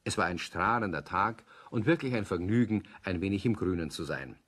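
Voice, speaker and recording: A man with a husky voice narrating a children's audio play as a scripted storyteller. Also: low voice, expressive, brisk, fairly bright tone.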